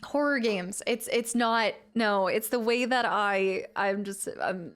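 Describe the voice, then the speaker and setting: A woman's voice: mid-range voice, takes it slowly. A young woman streamer chatting casually with her viewers, laughing and teasing herself as she reads the chat aloud. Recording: faint music behind the voice.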